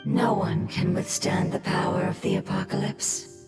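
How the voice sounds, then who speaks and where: Woman playing a solemn game advisor, medium pitch, measured, formal, cautious, echoey, loud music behind. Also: little pitch movement, vocal fry, natural voice. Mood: cautious, firm. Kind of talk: video game voice acting.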